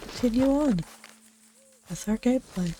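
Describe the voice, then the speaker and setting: A woman's voice: on the high side, expressive, normal speed, mellow, a little hiss or hum, rough recording. A woman hosting gameplay commentary, congested from a cold and talking in an easygoing, hesitant way. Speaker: let's play host. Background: game music.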